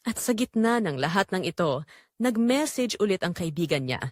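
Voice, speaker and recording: A woman's voice: fairly low pitch, very animated, normal speed, no echo, studio quality. A woman with a Filipino accent reads a scripted dramatic story as its narrator.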